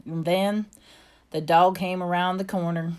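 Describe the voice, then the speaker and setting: A woman's voice: fairly low pitch, level tone, a little slow, darkish tone, clean tone, lightly acted. A woman voicing an earnest, plainspoken young girl with a Southern US accent, telling her story from a storybook. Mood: earnest, wondering. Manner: folksy, plainspoken. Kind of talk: first-person storybook narration.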